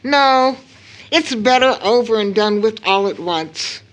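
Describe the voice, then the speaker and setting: A woman playing a grieving, proud widowed mother in an audio drama, telling her story solemnly with weighted pauses. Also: a little high, lively intonation, low-quality recording. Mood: troubled.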